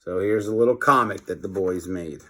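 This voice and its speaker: A man's voice: deep voice, normal speed, a bit bright. A man narrating a children's story expressively, like a teacher reading aloud to pupils.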